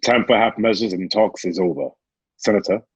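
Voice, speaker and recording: Man as a battle-hardened gladiator general, low husky voice, resolute, radio drama, close dry sound. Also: fairly quick, no room sound, dull audio. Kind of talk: online table-read radio drama acting.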